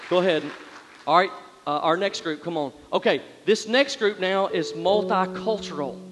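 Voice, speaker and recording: A man's voice: high-pitched, moderate pace. A man, hosting people on stage at church in an upbeat, coaxing way. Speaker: pastor. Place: large church hall with live band and congregation. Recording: hall reverb, loud band and crowd.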